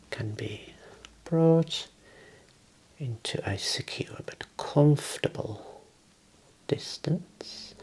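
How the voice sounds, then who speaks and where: A soft, breathy whisper in an ASMR medical role play, gently and politely explaining and instructing step by step as a clinician. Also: mid-range voice, poor audio. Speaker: ASMR performer playing a clinician.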